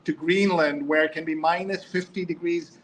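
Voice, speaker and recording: A man's voice: on the high side, steady tone, normal speed, a little hiss or hum. A man hosting a live talk show in a casual, chatty way, drifting into asides and reading out viewer comments.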